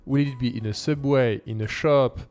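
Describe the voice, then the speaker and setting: A man's voice: medium pitch, fairly even intonation, normal speed, a little mellow. A man from France, a native French speaker with a French accent, talking casually as host of a language-learning video in non-native English phrasing. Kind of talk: casual talk to camera.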